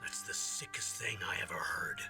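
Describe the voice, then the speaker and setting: A man's voice: sits low, animated, normal speed, whispered, over music. A man voicing a stern, authoritative military commander in a video game cutscene, with emphatic delivery. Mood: disbelieving.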